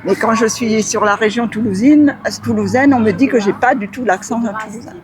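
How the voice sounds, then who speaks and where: A woman's voice: on the high side, moderate pace. A woman being interviewed as a passer-by, answering casually and often searching for the right word. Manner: hesitant, searching for words. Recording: clear crowd chatter behind.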